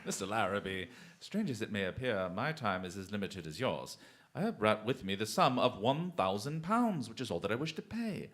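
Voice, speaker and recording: An actor playing a shrewd, commanding detective in a radio drama, speaking formally and deliberately with dry, theatrical mockery. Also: male voice, fairly low pitch, very animated, a bit bright. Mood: cool, taunting. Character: shrewd, commanding male detective, coolly taunting and unflappable.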